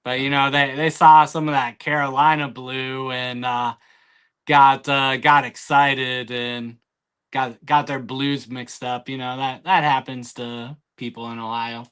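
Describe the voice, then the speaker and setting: A man's voice: mid-range voice, level tone, a little slow, fairly bright tone, clean tone. A man chatting casually and jokingly, with drawling, hesitant trash talk.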